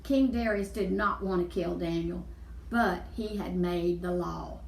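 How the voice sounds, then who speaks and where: A woman's voice: on the low side, unhurried, vocal fry, slight background noise. Woman storyteller, children's Bible reading, emphatic and explanatory, echoey room, slightly distant microphone.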